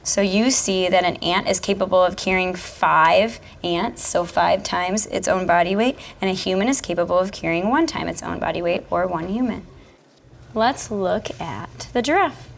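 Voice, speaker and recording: Creaky-voiced woman teaching. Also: on the low side, a little hiss or hum. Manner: instructional, repeats and expands on answers. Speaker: kindergarten teacher. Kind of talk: explaining teaching and leading an interactive read-aloud.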